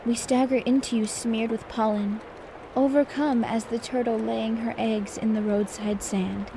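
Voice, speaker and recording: A woman playing a genteel, quietly competitive maid who softly reads poetry in an ASMR bedtime roleplay. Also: fairly high pitch, flat delivery, measured pace, noisy background. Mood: gentle, lyrical. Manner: genteel, polite, gently teasing. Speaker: servant reading poetry at bedtime. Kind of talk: soft-spoken ASMR roleplay, poetry reading.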